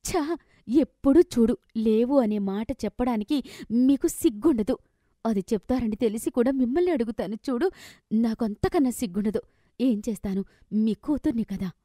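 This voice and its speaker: A woman's voice: a little high, moderate pace, mellow, no room sound, studio quality, voice pushed up, close to an everyday voice. Woman acting a bitter, frustrated young daughter. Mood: angry outburst. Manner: complaining, emphatic.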